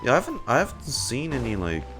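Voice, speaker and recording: A man's voice: mid-range voice, wildly swooping pitch, quick pace. A man giving casual reaction commentary while he plays a game. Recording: game music playing behind the voice.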